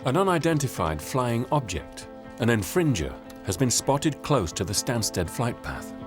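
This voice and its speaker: A man reading scripted documentary narration in a clear, measured way. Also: medium pitch, sing-song, over music.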